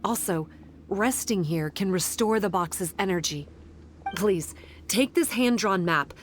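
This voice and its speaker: A woman playing a calm, analytical guide in a video game, speaking in a measured, formal way. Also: medium pitch, slight background noise, natural voice. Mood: calm, helpful. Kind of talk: scripted game cutscene dialogue.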